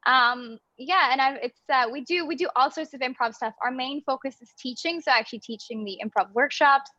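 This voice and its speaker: A young woman from Belgium chats through a video call in an upbeat, bubbly way, with a light laugh slipping between phrases. Her voice sits high and sounds bright and crisp. The audio is dry but a little dull, as a call line is. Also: fairly quick, no room sound. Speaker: guest, improv theater artistic director. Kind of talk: casual interview chat.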